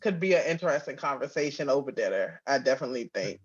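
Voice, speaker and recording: A woman's voice: rumbling low, lively intonation, fast talker, breathy edge. A woman giving a casual, informal interview, thinking aloud and repeating phrases as she goes. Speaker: interview guest, audio drama writer. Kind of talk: casual chat. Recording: slightly dull, lo-fi audio.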